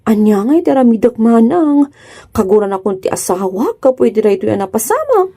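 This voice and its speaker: Woman acting bright, plaintive lovestruck Filipina wife. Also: fairly high pitch, big pitch swings, fairly quick. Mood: embarrassed, dismayed. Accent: Ilocano Filipino. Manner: plaintive, emotional. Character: distraught lovestruck married woman who misread a friend. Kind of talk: Ilocano radio comedy drama acting.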